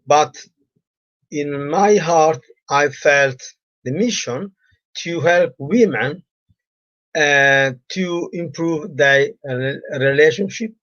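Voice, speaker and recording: Slow, hesitant man with a cold. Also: a little high, dry recording, lo-fi sound. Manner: halting, many fillers, non-native grammar. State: has a cold and sore throat. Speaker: relationship coach. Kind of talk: live-streamed solo talk.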